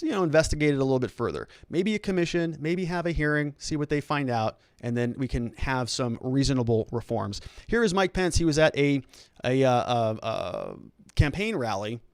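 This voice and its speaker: A man's voice: a little high, dry recording, clean studio sound. A man with an American accent giving conversational political commentary as a host, explaining points and posing rhetorical questions.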